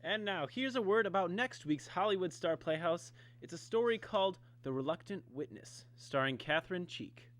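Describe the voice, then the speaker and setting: A man's voice: sits high, animated, normal speed, hardly any pauses, fairly bright tone. A man hosting and announcing in a scripted 1950s radio style. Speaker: radio show host announcer.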